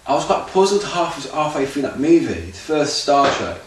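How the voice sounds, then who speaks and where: A man's voice: on the high side, big pitch swings, normal speed. Rambling man in an echoey room. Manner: rambling, improvised, restarts and loose grammar. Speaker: auditioning actor. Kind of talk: unscripted audition monologue. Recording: very echoey room, far from mic, faint noise.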